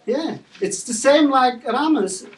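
A man acting a kindly wandering sage who chants and gently instructs. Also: very high voice, animated, measured pace, recorded from a distance, low-quality recording. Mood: instructing. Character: serene wandering male sage, kindly and devout.

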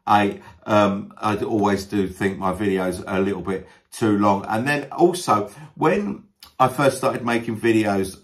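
A man talking casually as a video creator, in a self-deprecating, joking manner, often pausing with ums and uhs while he thinks. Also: on the low side. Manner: hesitant, frequent ums and uhs as thinking time. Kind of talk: casual talk to camera, answering a list of questions.

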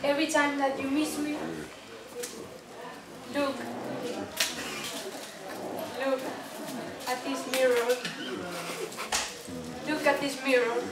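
Gentle dying mother for amateur puppet theatre, played by a young woman, high-pitched, slow, in an echoey noisy room. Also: little pitch movement. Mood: tender, solemn. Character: gentle dying mother tenderly comforting her young daughter. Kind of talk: amateur student puppet show. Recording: echoey room, distant mic, noisy background.

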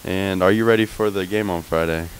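A man's voice: low voice, steady tone, a little fast, slight background noise, poor audio. A teenage boy asking short questions in a school hallway, holding a handheld mic. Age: teens. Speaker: student interviewer.

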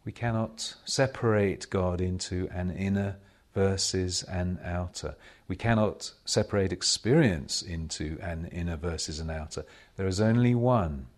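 A man leading a guided meditation as a spiritual teacher, speaking in measured, solemn short phrases with long pauses between them. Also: low voice. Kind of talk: slow guided meditation talk.

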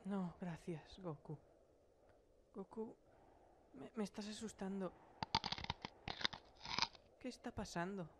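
A woman's voice: mid-range voice, slow pace, pauses often, background noise. A woman playing a frightened, nervously polite wife in a cartoon parody, hesitant and stammering. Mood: frightened confusion. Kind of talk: cartoon parody fandub acting.

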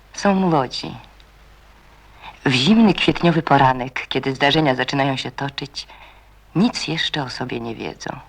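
A narrator reading a radio drama in a measured, suspenseful way, hushed at times. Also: medium pitch, creaky.

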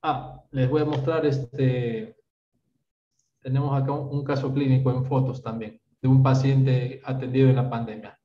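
A man's voice: mid-range voice, flat delivery, normal speed. A Peruvian man in his thirties, a doctor teaching an online workshop, explaining step by step and often checking with "¿está bien?". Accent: Peruvian Spanish. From Peru. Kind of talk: online medical lecture answering questions. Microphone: video call. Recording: roomy, distant, dull video call audio.

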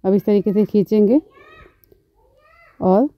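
Calm woman beautician explaining threading. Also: mid-range voice, slow, no room sound, lo-fi sound. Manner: calm, instructive, repeats phrases. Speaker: beautician, channel host. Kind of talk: step-by-step tutorial narration.

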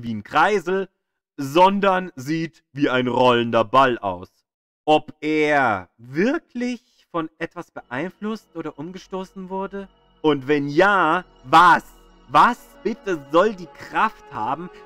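A man reading a scripted educational audio guide in a measured, emphatic storytelling manner, with pauses. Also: on the high side, fairly bright tone, dry recording.

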